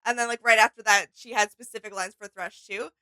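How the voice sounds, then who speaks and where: A woman's voice: on the high side, fairly even intonation, a little fast, sharp tone, dry recording. A woman co-hosting a reaction video in casual chat, agreeable and supportive as she adds her thoughts.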